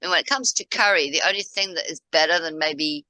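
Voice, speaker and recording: Bright, dry-voiced woman. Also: low-pitched, brisk, dry recording. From South Africa. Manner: rambling, pointing at a map. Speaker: co-host. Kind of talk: casual discussion.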